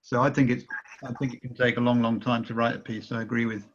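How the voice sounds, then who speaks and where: A male writer chatting tentatively and haltingly in a spontaneous discussion on an online call. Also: mid-range voice, little pitch movement, muffled recording, a little hiss or hum.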